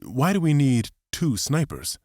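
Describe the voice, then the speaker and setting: A man's voice: medium pitch, big pitch swings, a little slow, darkish tone, dry recording, clean studio sound, pitched above the performer's own voice. A man playing a determined, impatient resistance soldier, firm and argumentative, in an audiobook drama. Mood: puzzled.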